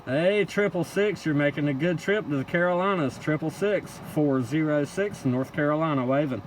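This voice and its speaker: A man's voice: on the high side, normal speed. A man working a CB radio, calling out contacts in clipped call-sign phrases. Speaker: CB radio operator. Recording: very loud vehicle-like background noise.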